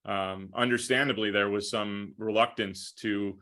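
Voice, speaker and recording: An American man answering interview questions conversationally as a guest broadcaster, with measured, hesitant phrasing. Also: fairly low pitch, fairly even intonation, sharp tone. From Minnesota, United States. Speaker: podcast guest, hockey play-by-play broadcaster.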